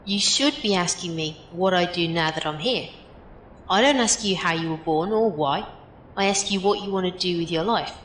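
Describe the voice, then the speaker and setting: A woman's voice: moderate pace, fairly bright tone, close to an everyday voice. Woman doing a blunt breezy tough gal teammate, casual talk, roomy echo, faint noise, audio drama. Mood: blunt, earnest. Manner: casual, folksy, direct. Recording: echoey room with faint noise, rumble.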